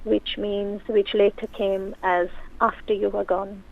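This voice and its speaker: A woman's voice: mid-range voice, level tone, unhurried, vocal fry. A woman whose first language is Urdu, speaking as a guest on a radio show, earnest and reflective, often restarting her sentences. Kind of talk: personal radio conversation. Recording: tinny phone line.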